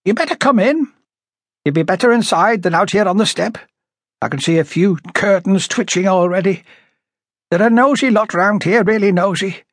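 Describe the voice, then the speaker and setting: A man voicing a blunt, prickly older working-class woman with a high, rasping voice, emphatic and chatty, as audiobook dialogue. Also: moderate pace, dry recording, clean studio sound. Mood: gossipy, grudging.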